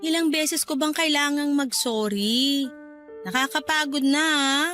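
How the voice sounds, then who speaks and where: A woman's voice: sits high, unhurried, sharp tone, faint music behind. A woman playing a jealous, hurt, defensive wife in a Filipino radio drama, arguing and pleading with a Filipino accent. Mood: weary, pleading. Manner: argumentative, pleading.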